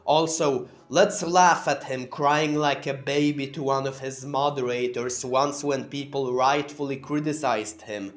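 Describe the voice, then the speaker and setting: A man's voice: mid-range voice, steady tone, a little slow, hardly any pauses. A man hosting a commentary channel, narrating in a sarcastic, scornful way. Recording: roomy room, faint music behind.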